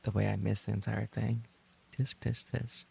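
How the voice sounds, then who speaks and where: A man chatting casually as a livestreamer, rambling and trading playful banter with his viewers, in a gravelly, husky voice. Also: lowish voice, flat delivery, normal speed, warm tone, a little hiss or hum. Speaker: livestreamer and ASMR voice actor reading chat.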